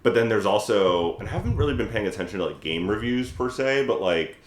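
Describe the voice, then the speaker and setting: A low-pitched man speaks as a podcast co-host in a casual, analytical way. He thinks aloud and carefully qualifies his points. The sound is clearly roomy and echoey. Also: very animated. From United States. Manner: hedging, measured, thinks aloud.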